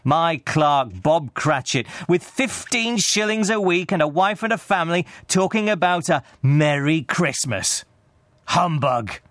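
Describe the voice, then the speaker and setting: A man's voice: on the high side, animated, a little slow, a bit bright, dry recording, studio quality, voice pushed up. A man voicing a stern, grumpy, miserly old uncle in a storybook reading, snapping curtly and scornfully. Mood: muttering scorn.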